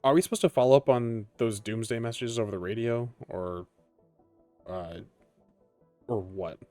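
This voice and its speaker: A man's voice: medium pitch, normal speed, dark tone, soft background music, natural voice. A man voicing a lazy, chatty secret police officer in an offhand way for a tabletop game. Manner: casual, offhand.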